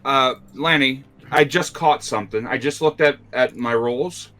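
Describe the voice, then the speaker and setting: A man's voice: medium pitch, a little slow, pushed and bright, faint music behind. A man talking casually and matter-of-factly as a player in a tabletop game.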